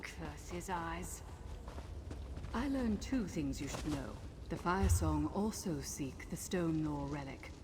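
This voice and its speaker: A commanding pirate captain with a slightly low voice and a wry, dramatic delivery. She sounds like a vengeful video game mercenary, with clear noise behind her. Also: animated, slow. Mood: grave, resolute. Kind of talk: video game character acting.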